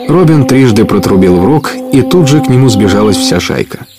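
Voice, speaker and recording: A man's voice: mid-range voice, lively intonation, a little fast, over loud music. A man narrating an adventure story in a scripted radio play, as a storyteller.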